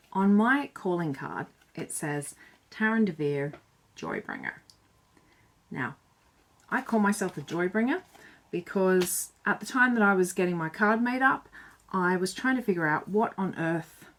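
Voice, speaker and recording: A woman speaking to camera in an earnest, chatty and reflective way, sharing tips with a few fillers. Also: lowish voice. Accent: none Irish. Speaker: self-styled joy bringer.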